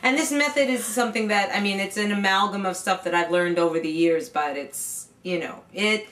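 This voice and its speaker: A woman's voice: medium pitch, brisk, a bit bright. Earnest middle-aged vocal coach woman. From New York. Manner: earnest, explanatory, with frequent restarts. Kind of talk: casual interview, explaining singing technique. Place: indoor home room lined with CD shelves.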